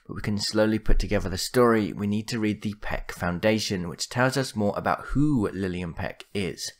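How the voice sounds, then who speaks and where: A man hosting a gaming video, narrating a lore explainer from a script. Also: fairly low pitch.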